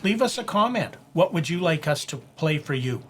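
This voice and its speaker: A man's voice: fairly high pitch, sing-song, moderate pace. A man reading a scripted outro as a podcast announcer. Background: loud music.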